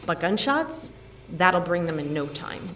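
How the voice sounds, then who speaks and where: A woman's voice: fairly low pitch, big pitch swings, unhurried, fairly bright tone, a little hiss or hum. An actress playing a determined woman doctor in an audio drama, switching between narration and urgent, emotive dialogue. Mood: composed, resolute. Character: determined, bossy woman doctor and scientist with powers. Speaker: narrator and lead actor in a fiction podcast.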